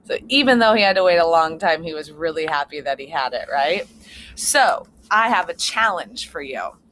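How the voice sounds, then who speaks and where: A woman's voice: low-pitched, expressive, moderate pace, fairly bright tone. Woman reading a children's story aloud. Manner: simple explanations, checking in with listeners. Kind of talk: read-aloud storytelling with asides to young listeners. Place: outdoors on a front porch. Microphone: computer propped on a chair.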